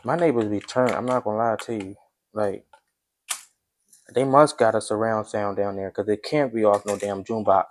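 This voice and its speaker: A young man chatting casually to his vlog viewers while he works in his kitchen at home. He talks in a relaxed, chatty way and keeps calling the audience "y'all". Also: lowish voice, moderate pace, slight background noise. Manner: laid-back, chatty, addresses viewers as y'all. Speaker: vlogger.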